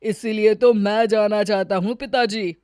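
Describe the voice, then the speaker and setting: A woman acts a stubborn young family man in a storybook drama. He is argumentative and incredulous, with a medium-pitched voice recorded very dry. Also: fairly even intonation, measured pace, a little mellow, clear, resonant tone, dry recording, natural voice. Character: ambitious, stubborn, penny-watching young husband and son.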